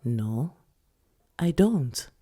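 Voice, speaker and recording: A woman voicing an elderly grandmother in a story reading, caring but evasive, with a hushed, measured manner. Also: a bass voice, very animated, husky, heavily acted. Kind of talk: acted dialogue in a short story reading.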